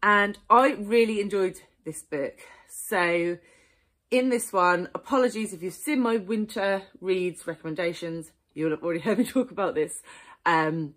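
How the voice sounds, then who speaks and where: A woman's voice: mid-range voice, a little slow, a bit bright. A woman, chatting enthusiastically through her monthly book reviews with frequent ums and small laughs. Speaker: book vlogger. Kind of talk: casual book review monologue.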